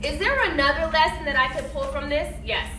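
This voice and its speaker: A woman's voice: sits high, steady tone, fairly quick. A woman teaching young pupils in a classroom, asking questions and explaining in an encouraging, didactic way. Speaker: elementary school teacher. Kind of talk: classroom read-aloud discussion, questioning pupils. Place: indoor classroom. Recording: echoey, noisy classroom from a distance.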